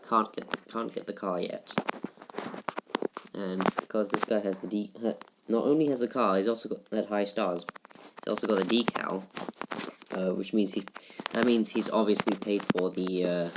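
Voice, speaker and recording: A teenage boy giving casual gameplay commentary in a halting, rambling way, full of restarts, recorded in a shared room at home. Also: lowish voice, heavy background noise. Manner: subdued, somewhat flat delivery. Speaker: gaming YouTuber.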